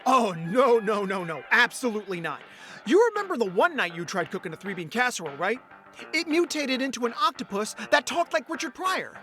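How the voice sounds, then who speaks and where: Man acting as animated, high-voiced earnest young guy. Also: brisk, full character voice. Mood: joking. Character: earnest, playful ordinary young guy, a lovestruck dreamer. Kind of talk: indie audio drama acting with first-person narration. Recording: music under the voice. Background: music.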